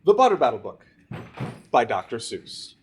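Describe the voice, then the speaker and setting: Male teacher, storybook read-aloud to a class, emphatic, with big swoops in pitch. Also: wildly swooping pitch, moderate pace, a little hiss or hum. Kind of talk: reading a rhyming picture book aloud to students.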